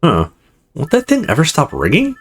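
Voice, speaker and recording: A man's voice: fairly high pitch, wildly swooping pitch, moderate pace, fairly bright tone, husky. A man hosting a let's play, reading a visual novel aloud and acting out its lines expressively. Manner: acts out dialogue expressively.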